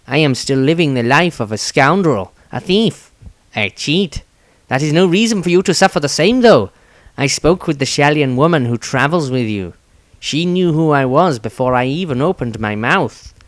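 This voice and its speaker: A man's voice: medium pitch, lively intonation, moderate pace, dry recording, pitched above the performer's own voice, close to an everyday voice. A man voicing a remorseful scoundrel brother in a fantasy story, earnest and confessional. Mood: regretful.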